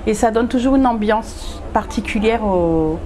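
A woman's voice: fairly high pitch, animated, measured pace, crowd noise. A woman in her forties from northern France, a photographer being interviewed, who answers casually with many hesitations and restarts, recorded with a lapel mic in a greenhouse. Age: 40s. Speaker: photographer and event organizer. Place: glass greenhouse room.

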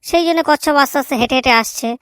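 Woman acting cheeky cartoon schoolboy, fairly high pitch, blunt, crude. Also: fast, a bit bright, no room sound. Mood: cocky. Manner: cheeky, blunt excuse-making. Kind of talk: comedy cartoon dubbing.

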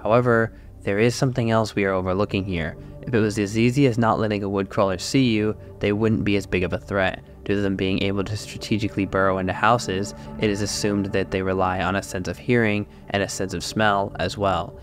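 A man's voice: lowish voice, fairly even intonation, brisk, few pauses. A man hosting a scripted, analytical video essay, narrating step by step as a voice-over. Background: music behind the voice.

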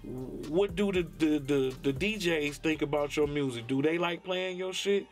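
A man's voice: a little high, unhurried, soft background music. A man from Detroit, a rapper, talking bluntly and profanely in a laid-back interview with a Detroit accent. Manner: laid-back, blunt and profane, many "you know what I'm saying". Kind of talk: candid interview talk.

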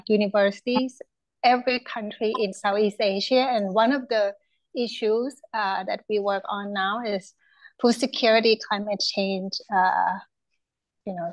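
A woman who teaches at a university, introducing herself on a video call in a measured way with frequent uhs. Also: mid-range voice, no room sound, poor audio. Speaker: university lecturer.

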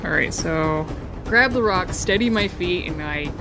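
A woman's voice: fairly low pitch, expressive, measured pace, music in the background. A woman playing a tabletop game, chatting casually as she describes her moves.

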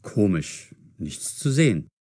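An impatient teenage boy in an audio drama. His voice is fairly low with a lively rise and fall, grumbling and exclamatory. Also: takes it slowly, heavily acted. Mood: puzzled, muttering. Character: impatient, easily annoyed fifteen-year-old boy.

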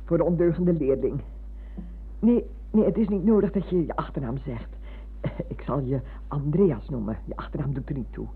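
A woman playing a chatty, motherly, bossy old schoolmistress in a radio drama, with a husky voice and a habit of repeating herself. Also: deep voice, moderate pace, exaggerated voice. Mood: playfully scolding. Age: older. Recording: muffled, narrow-band old radio recording.

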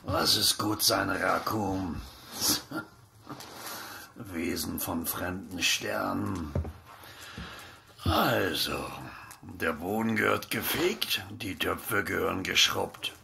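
An actor playing a gruff, practical working man in a fantasy audio drama, dry and matter-of-fact. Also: sits low, extremely slow, long pauses, sharp tone, a little hiss or hum, heavily acted.

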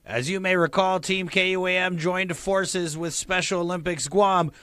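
A man anchoring a local TV sports segment in an energetic, exclamatory, punchy style. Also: sits high, moderate pace. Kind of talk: upbeat sports newscast.

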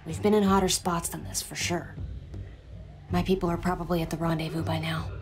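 An actress playing a friendly, tough and easygoing survivor with a wry, folksy manner in a video game. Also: female voice, on the low side, fairly even intonation, brisk, slight background noise, lightly acted. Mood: rueful. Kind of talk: naturalistic video game acting. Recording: background music under the voice.

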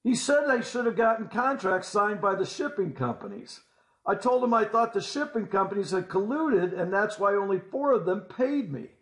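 A man telling a scripted first-person story as a storyteller, deliberately, with folksy rhetorical asides. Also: high voice. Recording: roomy, echoing voice-over.

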